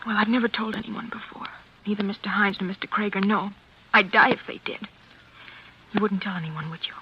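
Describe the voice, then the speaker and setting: A young American woman of mid pitch an actress as an anxious, confiding office operator in a 1940s radio drama. The recording is muffled, with faint noise. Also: fairly even intonation, a little fast, lightly acted. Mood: frightened, confiding.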